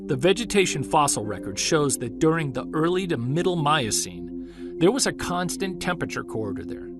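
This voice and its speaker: An actor playing a driven, defensive Bigfoot researcher, delivering a deliberate, emphatic scripted monologue in the manner of a documentary. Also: male voice, a little high, sing-song, music in the background. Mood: insistent, lecturing. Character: driven, defensive male Bigfoot researcher recording his case. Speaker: narrator of a found-tape audio drama.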